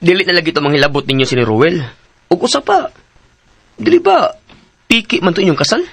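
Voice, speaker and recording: A man's voice: high-pitched, wildly swooping pitch, brisk, gravelly, a bit bright, slightly rough, no echo, heavily acted. An actor playing an inquisitive, easily astonished male cousin in radio drama, exclaiming and questioning with a Cebuano accent. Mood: curious, surprised. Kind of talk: Philippine radio drama acting.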